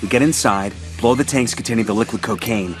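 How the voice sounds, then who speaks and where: An actor playing a decisive special forces squad leader in a video game, giving clipped orders. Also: male voice, lowish voice, brisk, faint music behind, natural voice. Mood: focused. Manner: clipped, commanding radio-style orders.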